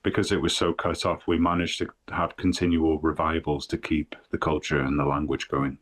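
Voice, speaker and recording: A man with a North Welsh accent, a guest talking in a thoughtful, unhurried way in a casual podcast chat. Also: a bass voice, level tone, fairly bright tone. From Wales.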